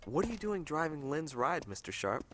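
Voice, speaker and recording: A man's voice: on the high side, very animated, moderate pace, very noisy. An actor playing a distraught young male gangster in a video game, frantic and strained. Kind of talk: video game cutscene acting.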